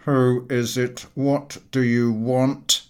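A man voicing a rude, surly householder in a comic audiobook, speaking gruffly with indignant emphasis. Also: medium pitch, little pitch movement, takes it slowly, close to an everyday voice. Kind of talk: audiobook character voice, comic Victorian novel.

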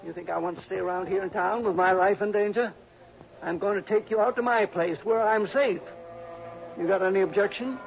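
An actor playing a cranky, suspicious old miser in a radio drama, querulous and blustering, with folksy grammar, on a muffled old broadcast. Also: male voice, high-pitched, fairly quick, vocal fry. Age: older.

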